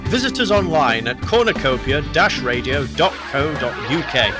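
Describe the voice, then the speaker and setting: Male announcer, scripted credits read, slightly high register, prominent music bed. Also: very animated, normal speed.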